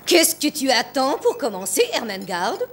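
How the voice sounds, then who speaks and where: Stern, high-voiced cartoon headmistress. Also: female voice, sing-song, normal speed, fairly bright tone, faint music behind. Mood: impatient. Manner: severe, haughty, clipped authority. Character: stern, cruel middle-aged boarding-school headmistress. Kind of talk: French cartoon dub.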